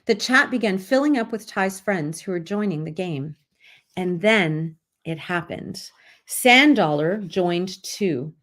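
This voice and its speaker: A woman's voice: on the low side, expressive, slow, fairly bright tone. A woman author reading a children's story aloud to listeners. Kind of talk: scripted story read-aloud.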